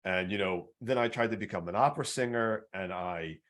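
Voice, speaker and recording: A man with a baritone voice, hosting a podcast and telling his own story earnestly, stumbling over repeated words. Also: a little fast, a bit bright. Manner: earnest, repetitive restarts. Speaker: podcast host. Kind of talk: personal storytelling in interview.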